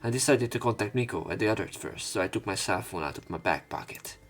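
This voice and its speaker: A man's voice: lowish voice, animated, quick pace, faint music behind. A man reading visual novel text aloud and breaking off for casual, sweary let's play commentary. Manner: casual, sweary interjections between read lines.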